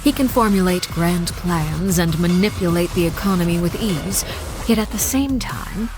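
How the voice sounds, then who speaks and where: A woman voicing a cold, composed, commanding woman in a video game, speaking in a measured, formal, aloof and quietly menacing way. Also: fairly low pitch, music in the background, close to an everyday voice. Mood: composed, detached. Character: cold, composed, commanding woman, a Harbinger, judging her colleagues. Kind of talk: scripted video game character monologue.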